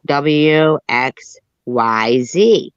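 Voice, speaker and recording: A man's voice: a little high, drawn-out words, clean tone, no room sound, lo-fi sound. A man in casual chat who argues each point and spells out letters to prove it.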